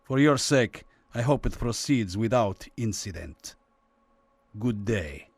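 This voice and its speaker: An actor playing a suspicious, subtly menacing official in a radio drama, with formal, pointed courtesy. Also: male voice, fairly low pitch, lively intonation, takes it slowly, slightly rough, heavily acted. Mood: suspicious, warning.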